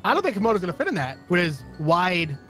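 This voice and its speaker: A man's voice: high-pitched, very animated, quick pace, fairly bright tone. A man bantering over gameplay in a joking, theatrical way with friends. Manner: goofy, theatrical. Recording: game music behind the voice.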